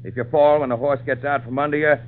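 An actor playing a harsh, bullying circus riding master, barking orders and scolding in old radio drama style. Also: male voice, medium pitch, flat delivery, quick pace, a little hiss or hum, lightly acted. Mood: stern, commanding. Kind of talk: 1940s-50s radio acting. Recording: tinny old radio recording with music.

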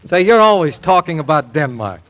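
Bright, high-pitched male old-time radio host. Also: sing-song, brisk. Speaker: radio show host and straight man. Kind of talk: 1940s radio variety show banter. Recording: old radio recording with background noise.

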